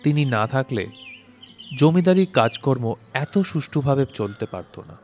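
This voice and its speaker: A man's voice: mid-range voice, animated, a little slow, a little mellow. Male story narrator over plucked-string music. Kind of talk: scripted literary story narration. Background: plucked-string instrumental music.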